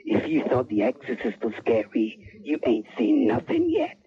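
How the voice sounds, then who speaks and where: A man's voice: medium pitch, wildly swooping pitch, moderate pace. A man on a phone line playing a foreboding, dramatic announcer for a horror film trailer. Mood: ominous. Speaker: radio phone-in caller. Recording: thin, tinny phone line.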